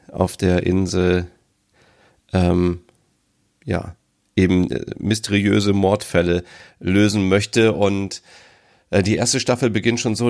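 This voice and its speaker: Deep gravelly man with a cold. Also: darkish tone. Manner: hesitant, many ums and uhs. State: has a cold. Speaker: podcast host. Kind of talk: casual solo podcast chat.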